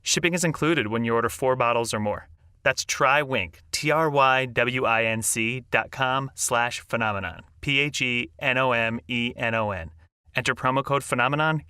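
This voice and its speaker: A man's voice: mid-range voice, moderate pace, pushed and bright, smooth, dry recording, studio quality. A man reading a scripted podcast sponsor advertisement.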